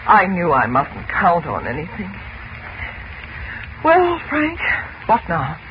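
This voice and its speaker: An anxious, gentle mother in a 1940s radio drama, hesitant and worried. Her pitch wavers a lot. The broadcast is dull and thick with hiss. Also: huge pitch swings, takes it slowly, full character voice. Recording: muffled old radio broadcast with loud hiss.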